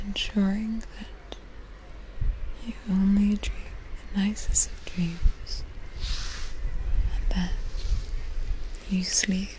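Casting: young woman, intimate ASMR whisperer, flat and gentle intonation, soft breathy delivery, close to the mic. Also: mid-range voice, poor audio. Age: young adult. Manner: slow, soothing, intimate. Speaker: ASMR video creator. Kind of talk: ASMR guardian roleplay. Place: outdoors on a rocky sea cliff.

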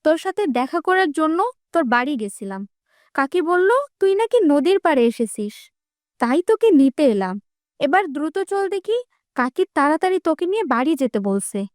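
A woman's voice: high-pitched, big pitch swings, brisk, darkish tone, no room sound, studio quality. A woman playing a protective young village girl in a cartoon, emphatic and bossy in a familiar way. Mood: scolding. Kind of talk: Bengali fairy-tale cartoon dubbing.

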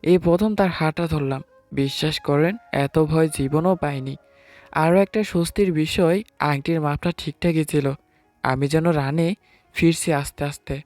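A man's voice: a little high, unhurried, darkish tone, no room sound, close to an everyday voice. Man acting timid young husband, close dry sound. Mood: sheepish narrating. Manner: hesitant, sheepish. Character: timid, nervous newlywed husband, late twenties. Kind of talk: first-person story narration with acted dialogue. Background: music.

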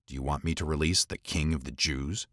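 A man voicing a troubled, weary but commanding Roman governor with deliberate, dramatic delivery in a scripture reading. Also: rumbling low, fairly even intonation, a bit bright, no room sound, clean studio sound, pitched below the performer's own voice. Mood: weary, measured.

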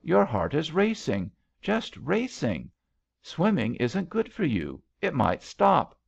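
A man voicing a spirited, excitable young woman swimmer in a story reading, on a muffled recording. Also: mid-range voice, slow, vocal fry, voice pushed up, exaggerated voice. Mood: tender.

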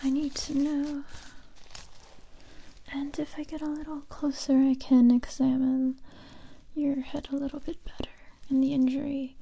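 Young woman acting as a wary but kind survivor girl, super soft dark whisper, asmr roleplay, slow and hesitant. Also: high voice, level tone. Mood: cautious, concerned. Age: young adult. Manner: slow, hesitant, intimate close-up delivery. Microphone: close mic, whispering near the listener.

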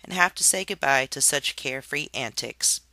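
A man voicing a naive, nature-loving woman who gushes in high, breathless exclamations. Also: expressive, moderate pace, sharp tone, no room sound, poor audio. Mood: gushing.

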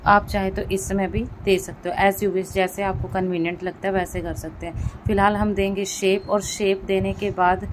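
Woman narrating over clear background noise. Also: medium pitch, flat delivery, normal speed, poor audio. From India. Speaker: vlogger. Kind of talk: casual step-by-step voice-over narration.

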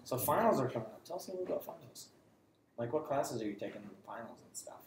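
A man's voice: mid-range voice, sing-song, fast talker, darkish tone. A young man hosting a student interview show in a classroom, joking and teasing his guest. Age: young adult. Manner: teasing, playful, laughs often. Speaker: student host. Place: small classroom, indoors. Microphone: camera on table in front. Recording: echoey classroom with background noise.

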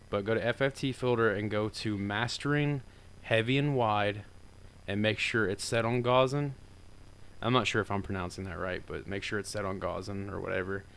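A man with a deeper voice talking through a software tutorial in a loose, rambling way, full of fillers. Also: slight background noise. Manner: rambling and informal, with many fillers. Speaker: tutorial maker. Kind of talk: casual screen-recorded software tutorial.

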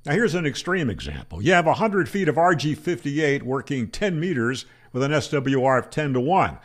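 An older man, a ham radio educator, explains antenna myths to camera in a matter-of-fact, debunking tone, asking himself rhetorical questions, speaking into a boom-arm microphone in a small room. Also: sing-song, moderate pace. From Florida. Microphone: studio microphone on boom arm.

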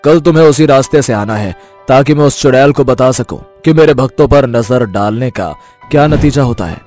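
A man voicing a powerful, stern god who protects his devotees, solemn and authoritative, in an animated devotional story. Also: mid-range voice, moderate pace, faint music behind, natural voice. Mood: solemn, reassuring.

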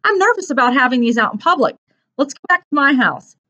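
An anxious, awkward teenage boy performed by a woman narrator, pitched up from her usual voice. He is earnest and nervous in this storybook reading, and the recording sounds a little dull. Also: big pitch swings, fairly quick, sharp tone, exaggerated voice. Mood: serious, uneasy. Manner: anxious, stammering when upset.

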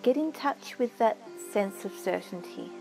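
A woman narrating a guided meditation in a soothing, measured way with long pauses. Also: medium pitch, animated, vocal fry. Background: music.

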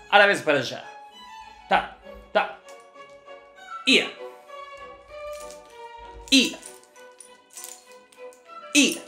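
Casting: exacting Russian ballet teacher played by a man, bright forceful voice, blunt comic critiques in broken phrases, roomy sound. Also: mid-range voice, full character voice. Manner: broken Spanish with infinitive verbs, chanted counting syllables. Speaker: ballet teacher reviewing dancers' videos. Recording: roomy echo with faint music behind.

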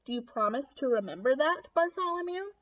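A woman's voice: sits high, expressive, brisk, highs cut off. A woman reading as a stern, exasperated male schoolteacher. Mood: exasperated, emphatic.

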